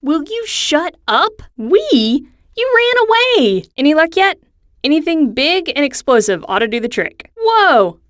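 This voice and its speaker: An actress playing a confident, sassy young adventurer in a cartoon game, a wry and encouraging mission guide. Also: female voice, high-pitched, very animated, measured pace, no echo, clean studio sound. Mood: sarcastic, exasperated, then upbeat, brisk. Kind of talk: video game character acting.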